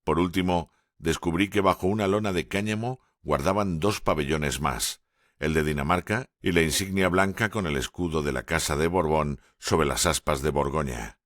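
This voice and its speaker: A man narrating an audiobook in the first person, in a measured, steady reading. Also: very deep voice, no echo, clean studio sound.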